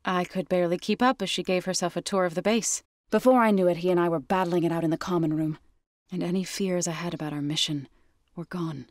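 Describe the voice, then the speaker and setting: Low-pitched, earnest warrior woman, played by an actress. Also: steady tone, quick pace, no room sound, clean studio sound, lightly acted. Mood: subdued, grave. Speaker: video game character. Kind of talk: scripted video game dialogue.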